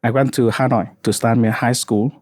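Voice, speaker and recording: Mellow-voiced man, Vietnamese accent. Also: medium pitch, fairly even intonation, no room sound, studio quality. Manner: earnest, halting, many fillers. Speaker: podcast guest, founder. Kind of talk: casual podcast chat.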